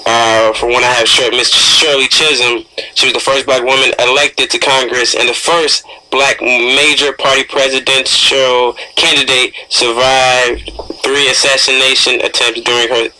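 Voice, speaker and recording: A man's voice: mid-range voice, flat delivery, moderate pace, pushed and bright, rough recording. An American man hosting a casual talk radio show, often repeating his words and phrases.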